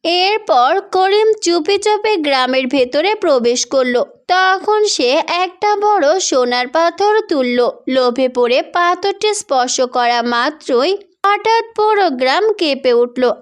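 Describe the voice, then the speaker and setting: A woman storyteller reading scripted fairy tale narration for children. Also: high voice.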